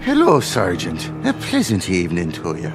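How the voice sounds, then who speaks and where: An actor playing a drunken, cheerful vagrant in a radio drama, rambling and ingratiating. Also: male voice, low-pitched, wildly swooping pitch, moderate pace, over music. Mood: tipsy, wheedling.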